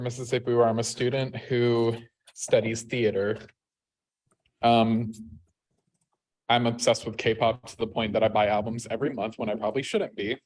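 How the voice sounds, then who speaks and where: A man's voice: mid-range voice, low-quality recording. Chatty man in his twenties. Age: 20s. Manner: hurried, chatty, talking while busy. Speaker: contestant. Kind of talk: casual self-introduction.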